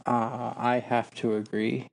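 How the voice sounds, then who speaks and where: A man's voice: mid-range voice, level tone, takes it slowly, vocal fry, poor audio. A man co-hosting a podcast in casual banter, joking in a dry, self-deprecating way. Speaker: podcast co-host.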